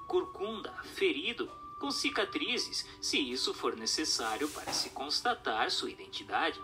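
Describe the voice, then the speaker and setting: A man reading a formal text as scripted narration in a measured, didactic manner. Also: fairly high pitch. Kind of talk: scripted audiobook-style narration of a spiritist text. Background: music.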